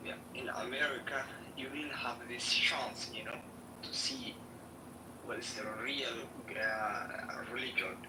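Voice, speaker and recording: A man from Somalia speaking in halting, hesitant English, with many fillers and restarts, in a casual chat in an online audio room. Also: mid-range voice. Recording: roomy, distant, noisy online audio.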